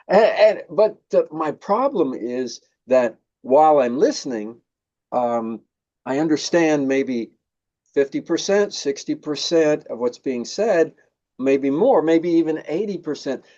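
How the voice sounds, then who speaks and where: A man's voice: a little high, expressive, slow pace, mellow, highs cut off. A man, a native English speaker, hosting a casual conversation. He talks thoughtfully and hesitantly, with many fillers when he switches to Korean. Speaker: host.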